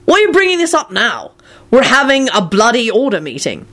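A woman's voice: low-pitched, very animated, fairly quick, breathy edge, exaggerated voice. A woman voicing an irritable man with an exasperated, defensive manner in a story reading. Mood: snapping. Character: irritable man trying to run a serious meeting.

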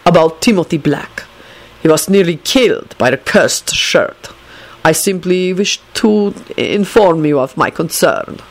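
A storyteller voicing a haughty, icy gentleman in an audiobook story, speaking in a formal, grave, measured way. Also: medium pitch, animated, husky. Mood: grave, solemn.

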